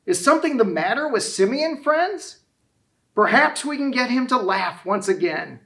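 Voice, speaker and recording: A man voicing a curious, friendly tailor with a squeaky voice. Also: sing-song, moderate pace, bright, forceful tone, heavily acted. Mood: curious, concerned.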